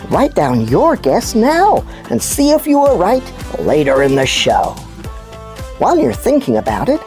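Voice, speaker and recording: A woman voicing an enthusiastic puppet news presenter who explains science to children in an animated, emphatic storytelling way. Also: mid-range voice. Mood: bubbly, inviting. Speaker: kids' show host. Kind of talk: scripted children's educational presenting.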